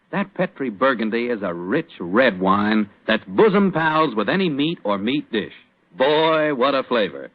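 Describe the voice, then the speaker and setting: A man's voice: mid-range voice, no room sound. An American man announcing on 1940s radio, delivering scripted narration and sponsor copy with folksy sales patter. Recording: muffled 1940s radio recording.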